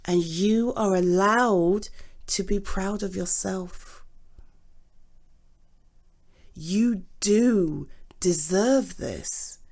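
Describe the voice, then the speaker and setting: A woman's voice: mid-range voice, extremely slow, darkish tone. A British woman playing a supportive, affectionate girlfriend in an intimate ASMR roleplay, earnest and encouraging. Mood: tender, proud. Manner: encouraging, earnest, emphatic on key words. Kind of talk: ASMR roleplay, intimate one-sided conversation.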